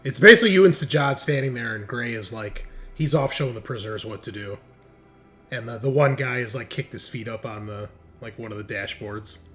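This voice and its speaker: A man narrating a tabletop roleplaying game as game master, in an animated, conversational way. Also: medium pitch, brisk. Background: music.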